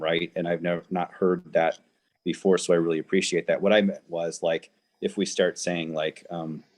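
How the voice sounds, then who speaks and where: A man with a very low voice speaks as a teacher in a discussion panel. He rambles a little and hunts for the right words, circling back to clarify what he means.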